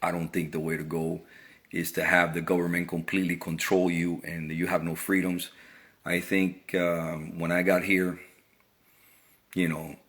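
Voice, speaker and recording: Middle-aged man from Cuba, deep voice; earnest off-the-cuff opinion piece, restarts phrases. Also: fairly bright tone. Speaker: vlogger. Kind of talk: casual opinion monologue.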